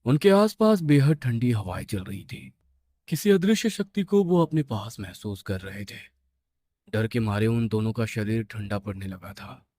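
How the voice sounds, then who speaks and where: Mellow, low-voiced male horror narrator. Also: animated, measured pace, dry recording. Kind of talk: scripted horror story narration.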